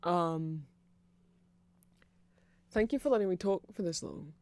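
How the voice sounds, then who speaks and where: A nerdy vampire shopkeeper, acted out for an ASMR roleplay, chatting casually to a friend. The voice has plenty of fry and sits in a very dry recording. The delivery rambles, hesitant yet excitable, with an apologetic streak. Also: mid-range voice, slow pace, no room sound. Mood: sheepish, friendly.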